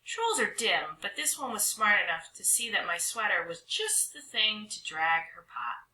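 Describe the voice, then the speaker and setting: A woman narrates a children's story with lots of drama. Her voice is very bright and swoops expressively in pitch, and the room adds a clear echo. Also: big pitch swings, normal speed, slight background noise. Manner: dramatic, with stretched words and hushed drops. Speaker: storybook reader. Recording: roomy echo.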